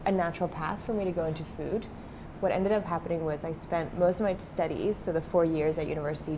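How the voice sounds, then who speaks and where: A woman's voice: fairly low pitch, background noise. A young adult woman who grew up in Switzerland, talking casually as a startup founder in an interview, with many fillers.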